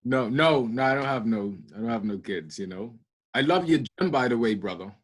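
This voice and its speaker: Husky Bahamian man chatting casually. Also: mid-range voice, animated, moderate pace. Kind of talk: casual video call chat.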